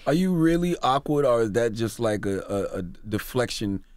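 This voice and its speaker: A man's voice: mid-range voice, lively intonation, normal speed, no echo. A man hosting a morning radio interview who asks blunt, teasing questions. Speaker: radio host.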